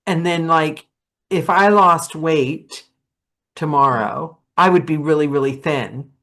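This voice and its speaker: Middle-aged woman, slightly low voice, chatting candidly. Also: slow, a bit bright. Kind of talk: casual chat.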